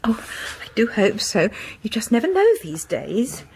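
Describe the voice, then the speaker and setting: Breathy, husky, timid British woman. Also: fairly high pitch, very animated, moderate pace, darkish tone, full character voice. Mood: nervous. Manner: hesitant, breathy, demure and confiding. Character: timid, genteel, dreamy unmarried woman music lover. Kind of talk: British radio horror drama acting.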